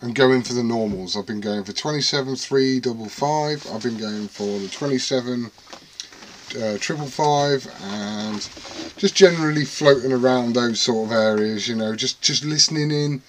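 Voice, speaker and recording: A man's voice: mid-range voice, normal speed, a little hiss or hum. A man rambling casually and self-deprecatingly to camera about his radio gear at home. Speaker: CB radio hobbyist vlogger.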